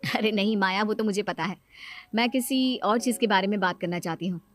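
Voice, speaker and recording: A woman's voice: mid-range voice, brisk, dry recording, faint music behind, lightly acted. A woman voicing a scheming young mother and sister-in-law in a cartoon moral story, coaxing and insistent.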